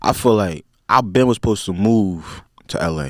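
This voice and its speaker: A man's voice: on the low side, lively intonation, moderate pace, no room sound, studio quality. Laid-back young man with a philly accent. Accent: Philadelphia. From Philadelphia, USA. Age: 20s. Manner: laid-back, slang-heavy, rambling with many fillers. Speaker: podcast guest. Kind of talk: casual interview chat.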